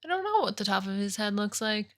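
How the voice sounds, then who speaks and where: A woman's voice: medium pitch, sing-song, fast talker. A woman in her thirties co-hosting a casual podcast, talking bluntly into a podcast microphone, teasing her partner and swearing freely. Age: 30s. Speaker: podcast co-host. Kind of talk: casual chat.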